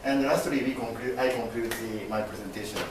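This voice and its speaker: Young man, Japanese accent, mid-pitched, presenting in class; halting with fillers, recorded by a far camera in a very echoey room. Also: steady tone, noisy background. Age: young adult. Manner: halting, many fillers and restarts, gestures broadly. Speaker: MBA student presenter. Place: classroom with projector screen.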